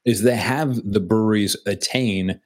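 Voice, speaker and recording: A man's voice: fairly low pitch, a little slow, a little mellow. A man co-hosting a casual beer podcast, rambling and chatty with lots of fillers and restarts. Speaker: podcast co-host.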